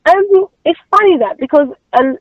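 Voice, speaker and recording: Woman, Cockney accent, high pitch, dry close sound, emphatic casual interview talk. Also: expressive, moderate pace, dry recording, lo-fi sound. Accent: East London Cockney. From East London, England. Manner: emphatic, repeats herself for emphasis. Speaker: interview guest. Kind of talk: casual radio interview conversation.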